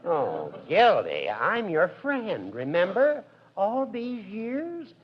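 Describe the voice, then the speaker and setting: An actor playing a smooth, pompous elderly small-town judge in a 1940s radio comedy, sentimental and fussy. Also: male voice, high voice, wildly swooping pitch, slow pace, exaggerated voice. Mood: smarmy. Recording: dull old radio broadcast.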